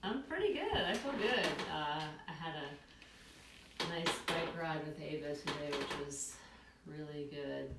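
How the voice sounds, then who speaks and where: Low-voiced woman, roomy and distant. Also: lively intonation, unhurried. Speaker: musician co-host. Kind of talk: casual chat between songs. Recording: roomy, distant mic with noise.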